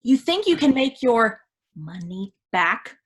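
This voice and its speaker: A woman's voice: on the high side, big pitch swings, slow, breathy edge, exaggerated voice. An actress as a smug, controlling second-in-command woman. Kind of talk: staged play reading.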